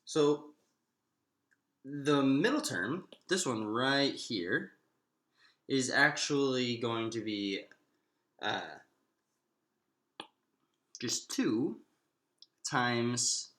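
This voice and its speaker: A man's voice: mid-range voice, animated, drawn-out words, pauses often, bright, forceful tone. A man giving an informal math lecture, explaining steps aloud and posing questions to himself as he works. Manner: explanatory, thinking aloud with self-posed questions. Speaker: math instructor. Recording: echoey, roomy sound.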